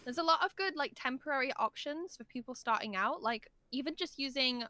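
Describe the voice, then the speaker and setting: Bright, high-voiced woman chatting emphatically. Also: lively intonation, brisk, dry recording. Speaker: podcast guest, voice actor. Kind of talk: casual interview chat. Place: large untreated room at home. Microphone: dynamic microphone.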